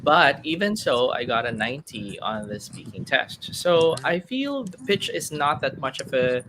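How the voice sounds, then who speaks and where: A man's voice: sing-song, moderate pace, noisy background. Chatty man coaching test takers. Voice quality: fairly high-pitched for a man. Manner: explanatory, friendly, thinks aloud with many fillers. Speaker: PTE speaking coach. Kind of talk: live webinar Q&A.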